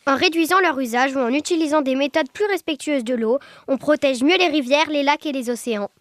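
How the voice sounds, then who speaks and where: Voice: high voice, a little fast, fairly bright tone, no echo, studio quality. A child reading a scripted radio presentation as a young presenter. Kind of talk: scripted radio quiz presentation, read aloud.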